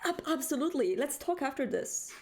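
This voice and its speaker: A woman playing a tabletop role-playing game, casually narrating and voicing her character in a hesitant, halting way. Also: high-pitched, lively intonation, slight background noise. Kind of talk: casual third-person narration of own character.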